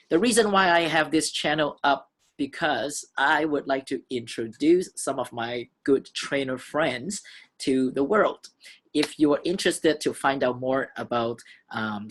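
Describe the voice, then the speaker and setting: A man's voice: mid-range voice, fairly bright tone. A man in his thirties from Hong Kong, a fitness instructor talking casually about his background in his gym, friendly and conversational with some fillers. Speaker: fitness instructor and channel host. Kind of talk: casual self-introduction vlog.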